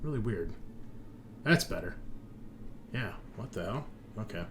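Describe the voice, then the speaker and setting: A man's voice: on the low side, expressive, measured pace, a bit bright. A man hosting a tabletop game as game master, bantering casually with teasing and swearing.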